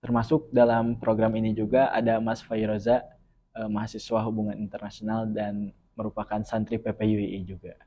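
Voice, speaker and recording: Young man, earnest and measured. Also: on the low side, level tone, mellow, husky. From West Java, Indonesia. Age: young adult. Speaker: student guest. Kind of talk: podcast interview.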